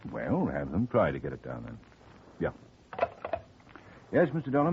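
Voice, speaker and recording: A man playing a smooth middle-aged lawyer in a 1950 radio drama, genial and chatty, quietly scheming. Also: deep voice. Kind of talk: 1940s radio acting. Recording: tinny faint-hiss old radio recording.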